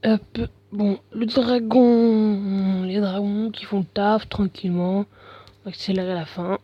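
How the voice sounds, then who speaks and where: A man's voice: high voice, little pitch movement, slow pace, no room sound, highs cut off. A man with a bad head cold giving casual, mumbling commentary over gameplay, full of slang and fillers.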